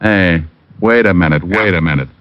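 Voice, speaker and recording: A man playing a quick-witted, wry private detective in an old-time radio drama, moving between dialogue and hardboiled narration. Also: deep voice, no echo, natural voice. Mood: intent, reasoning. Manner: wry, sardonic. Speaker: narrator and lead actor. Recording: dull vintage radio recording with music.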